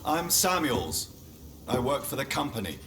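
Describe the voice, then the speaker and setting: An actor playing a polite, persuasive company man who talks in a measured, quiet way. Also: mid-range voice, very animated, very noisy. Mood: calm. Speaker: company representative.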